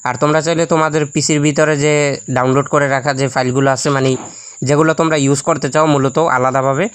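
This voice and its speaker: Very fast, flat-toned male tutorial host. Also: fairly high pitch, clear, resonant tone, no echo. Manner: addresses viewers directly, step by step. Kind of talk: instructional screen-recording voice-over.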